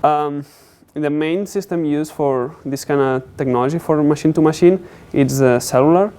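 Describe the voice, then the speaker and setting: Young guy presenting his tech project, clear smooth voice, kinda hesitant. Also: fairly high pitch, normal speed, warm tone. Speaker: student presenter. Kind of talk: technical capstone presentation.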